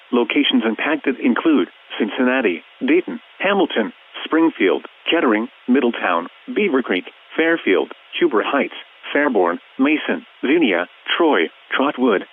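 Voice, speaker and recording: A man's voice: medium pitch, husky. A synthetic male voice with an American accent, formally and impersonally reading scripted emergency weather alerts like a radio announcer. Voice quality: synthetic text-to-speech voice. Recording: muffled, phone-like weather radio broadcast.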